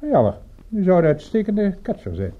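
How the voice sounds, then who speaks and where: An actor playing a blunt, needling gangster in a radio play, with a husky, curt, mocking delivery. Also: male voice, high-pitched, big pitch swings, normal speed. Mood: mocking, pointed. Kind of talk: radio drama dialogue. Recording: muffled radio play recording.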